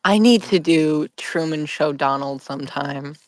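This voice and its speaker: A man's voice: on the high side, a little slow, dry recording. A man joking and chatting casually as a panelist on a group podcast.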